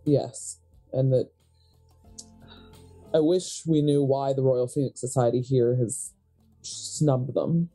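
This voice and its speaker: Woman playing a dark-voiced, shrewd occult sister. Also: a bass voice, steady tone, slow pace, long pauses, soft background music, full character voice. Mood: measured. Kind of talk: improvised tabletop horror roleplay.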